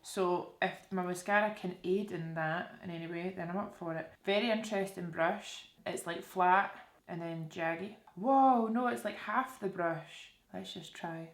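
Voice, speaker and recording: A woman's voice: lowish voice, a little slow. A young woman with a Scottish accent chatting casually through a makeup tutorial, often putting questions to her viewers. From Scotland. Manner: informal, conversational, addresses viewers with questions. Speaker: beauty vlogger presenting a makeup tutorial. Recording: roomy, echoey indoor sound.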